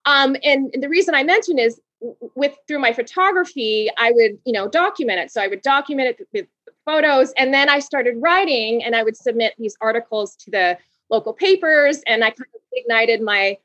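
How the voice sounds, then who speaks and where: Woman from Hawaii, bubbly, laughs a lot, fairly high voice, dull dry call audio. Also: brisk, fairly bright tone, dry recording. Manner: bubbly, laughs often, restarts words. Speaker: guest. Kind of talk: conversational interview storytelling.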